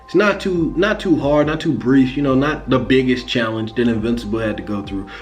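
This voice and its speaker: An American man giving casual, animated fan commentary in slangy, profane, conversational speech, acting out lines as he retells a story. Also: mid-range voice, brisk, soft background music. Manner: animated, conversational, acts out lines and sound effects. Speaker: host of a comics and animation channel.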